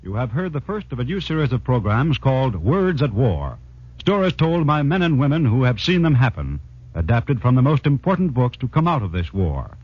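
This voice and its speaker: A man with an American accent working as a network announcer, speaking formally and deliberately in 1940s radio style. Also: medium pitch, very animated, slight background noise. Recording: dull 1940s radio broadcast recording.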